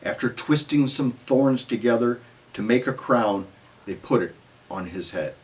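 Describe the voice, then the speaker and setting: A man's voice: mid-range voice, measured pace, darkish tone. A man giving a scripted dramatic scripture reading as narrator, in a solemn, emphatic storytelling manner.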